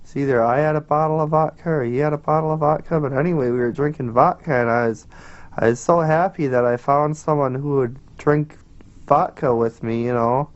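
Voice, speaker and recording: A man in a documentary interview, talking in a slow, rambling, earnest way. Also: a little high. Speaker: film subject. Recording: muffled film audio with guitar music.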